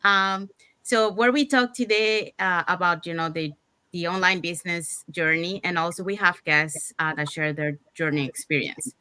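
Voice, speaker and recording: A woman from Panama hosting an online interview show in an informal, somewhat halting way. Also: lowish voice, fairly even intonation, sharp tone, clear, resonant tone, dry recording. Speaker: talk show host.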